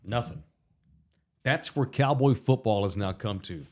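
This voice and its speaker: A man's voice: fairly low pitch, unhurried, darkish tone. A man hosting a casual sports talk show, wry and sardonic, reading sponsor plugs and viewer super chats. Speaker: host.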